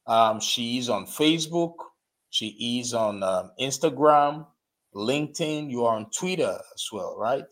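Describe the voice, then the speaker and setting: A man hosting a live podcast interview, urging his listeners in an emphatic, exhorting way and spelling out names slowly. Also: mid-range voice, animated, a bit bright. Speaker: podcast host. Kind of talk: motivational interview show.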